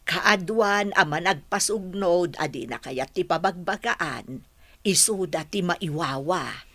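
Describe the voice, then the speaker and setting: Woman playing frank supportive friend, Ilocano accent, crisp forceful tone, advising, radio comedy drama, dry sound. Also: lowish voice, expressive, slow pace, no echo, full character voice.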